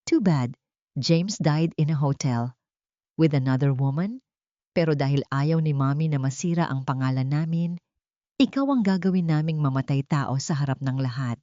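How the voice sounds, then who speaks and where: A woman's voice: sits low, normal speed, dark tone, lightly acted. A woman voicing a cruel, scheming rich wife in an audio drama, scornful and taunting. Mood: cold mockery. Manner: taunting, switches between languages. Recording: dull lo-fi audio with room echo.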